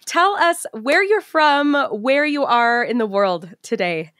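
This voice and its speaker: A woman's voice: fairly high pitch, lively intonation, slow pace, fairly bright tone. A woman from the United States hosting a podcast, reacting with upbeat enthusiasm in casual interview talk. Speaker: podcast host.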